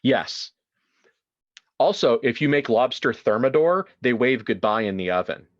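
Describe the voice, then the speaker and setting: A man improvising horror narration and casual chat in a tabletop roleplaying game, descriptive and halting as he sets a scene. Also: mid-range voice, expressive, highs cut off. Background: faint music.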